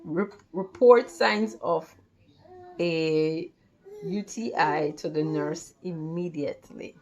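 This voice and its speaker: A woman reads a textbook lesson aloud as a tutor. Her voice sits slightly low and moves slowly. She goes carefully and haltingly, often stumbling and repeating words. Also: a little mellow. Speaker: tutor reading study material aloud. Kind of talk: read-along lesson from a textbook.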